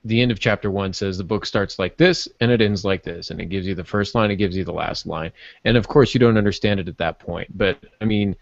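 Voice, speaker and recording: A man's voice: low voice, a little fast, gravelly, no room sound, muffled recording. A man co-hosting a casual book discussion in an agreeable, conversational way. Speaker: podcast co-host.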